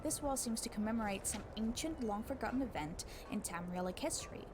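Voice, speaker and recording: A woman reading a book aloud as scripted narration, in a measured, scholarly way with deliberate emphasis. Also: a little high, lively intonation, background noise. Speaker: book narrator giving a voice demo.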